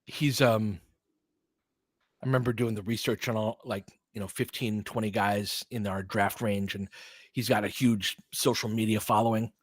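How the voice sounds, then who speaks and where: A man's voice: fairly low pitch, fairly even intonation, moderate pace, no echo. A man giving informal live basketball play-by-play as a partisan commentator who urges on the home team.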